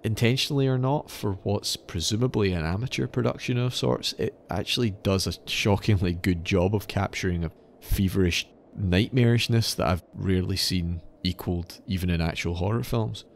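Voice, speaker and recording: A man hosting a podcast, telling stories in a semi-scripted, articulate and measured explanatory way. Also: deep voice, slight background noise. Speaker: podcast host. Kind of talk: semi-scripted storytelling narration.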